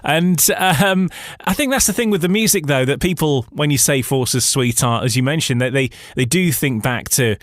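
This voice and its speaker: A male radio host interviewing a guest, friendly but halting, with many pauses and restarts. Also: mid-range voice, dry recording, clean studio sound.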